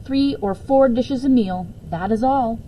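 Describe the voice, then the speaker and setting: A kindly, playful storybook woman with a love of make-believe, voiced by a woman reader. The voice is high and theatrical. The recording carries faint background noise. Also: unhurried, mellow, close to an everyday voice, low-quality recording. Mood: dreamy, dramatic.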